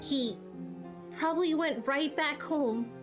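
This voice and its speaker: A woman voicing a heartbroken teenage girl in denial in a game story, halting and emotional. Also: high voice, pitched above the performer's own voice. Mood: dazed denial. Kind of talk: amateur game character voice acting. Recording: game music behind.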